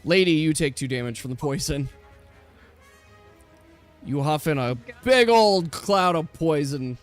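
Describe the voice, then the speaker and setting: Higher-voiced man running a tabletop game. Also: animated, a little slow. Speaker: game master and streamer. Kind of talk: casual game narration on a livestream. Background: music.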